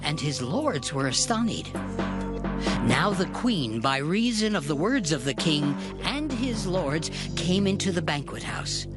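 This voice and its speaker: A man's voice: on the high side, sing-song, a little slow. A man narrating a dramatized scripture reading in formal, storytelling archaic English. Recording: music clearly under the voice.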